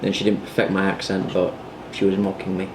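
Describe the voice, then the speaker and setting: A man's voice: low voice, monotone, brisk, background noise. A British man in his twenties, chatting casually to the camera in a rambling vlog style with plenty of fillers. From United Kingdom. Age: 20s. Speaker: vlogger.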